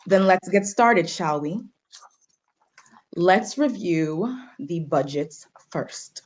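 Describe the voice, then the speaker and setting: A businesslike woman in charge, played by an actress in a one-act play. Her voice is fairly low, and she speaks slowly, with formal, commanding manners. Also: lively intonation, heavily acted. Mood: brisk authority. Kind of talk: stage play acting over video stream.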